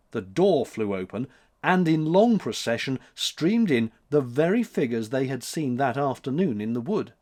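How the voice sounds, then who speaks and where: A man reading a story aloud as a storyteller, in expressive scripted narration. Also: medium pitch.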